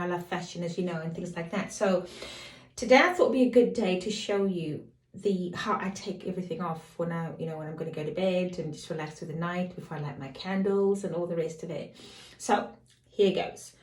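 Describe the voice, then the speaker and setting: A middle-aged woman with a slightly croaky voice, casually chatting to camera as a lifestyle vlogger, rambling off on tangents. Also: on the low side, darkish tone. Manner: rambling, digresses and apologizes. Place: home. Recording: echoey room.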